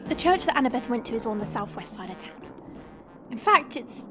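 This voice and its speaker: A woman's voice: on the high side, sing-song, a little fast, music in the background. A woman playing a cheeky, chatty young monster girl in an audio roleplay, playful, teasing and theatrical. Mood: explaining, subdued.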